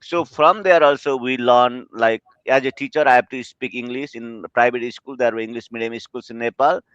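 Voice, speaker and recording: Male interpreter from Bhutan, clear and earnest, on a muffled call line. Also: medium pitch, fairly even intonation, moderate pace, fairly bright tone, no room sound. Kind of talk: answering interview questions. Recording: dull, lo-fi call audio.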